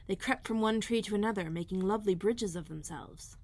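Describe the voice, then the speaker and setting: A woman narrating a children's storybook as a read-aloud host, in a measured, expressive storytelling manner. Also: medium pitch. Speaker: elementary school librarian, host.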